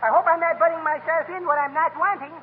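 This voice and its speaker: A man's voice: very high-pitched, fairly even intonation, fast talker. An actor playing a cheery immigrant neighbor who mangles his grammar and words, in 1930s radio comedy style. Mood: cheerful rambling. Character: cheery Greek immigrant neighbor, jolly malaprop talker. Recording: scratchy 1939 radio broadcast, tinny and noisy.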